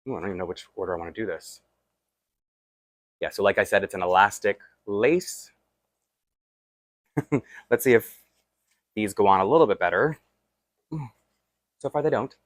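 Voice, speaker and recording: A man with a Toronto accent talks through a doll unboxing in a loose, rambling way, poking fun at himself as he goes. His voice sits low, and the sound is dry and close with no room echo. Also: normal speed, long pauses. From Toronto, Canada. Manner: chatty and self-deprecating, rambling asides while handling a doll. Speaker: doll collector vlogger. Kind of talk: casual unboxing chat.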